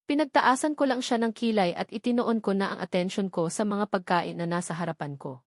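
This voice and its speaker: A woman's voice: mid-range voice, a little fast, no room sound. Filipino woman narrating a story, dry close sound. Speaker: audiobook narrator. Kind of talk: scripted first-person story narration.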